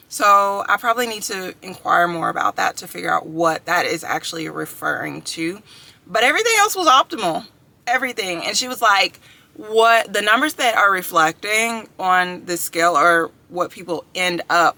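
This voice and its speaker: A woman in her thirties talks casually and upbeat to her viewers, addressing them as y'all. Her voice is strikingly bright and forceful, with a gravelly fry in it. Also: mid-range voice. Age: 30s. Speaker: vlogger. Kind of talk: casual chat to camera.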